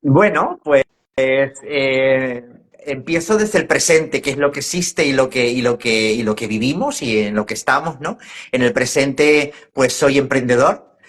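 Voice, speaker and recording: A man's voice: mid-range voice, a little slow. A man in his fifties from La Mancha, a former teacher, talking reflectively as a guest in a casual video-call interview. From La Mancha, Spain. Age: 50s. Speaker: guest, educator and trainer.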